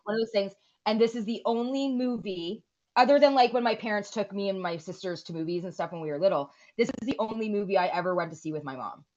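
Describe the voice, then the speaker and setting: A woman's voice: mid-range voice, fast talker, darkish tone, dull audio. A woman in her forties, co-hosting a podcast and chatting casually, rambling and swearing freely. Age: 40s. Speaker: podcast co-host. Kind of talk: casual chat.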